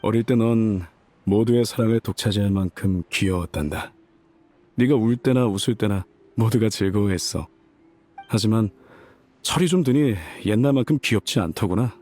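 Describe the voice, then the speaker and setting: A man's voice: on the low side, normal speed, a little mellow, close to an everyday voice. A man voicing an ailing old mercenary leader, a boastful but fond father, in a wistful, wry, folksy game performance. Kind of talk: dramatic game voice acting, letter read aloud.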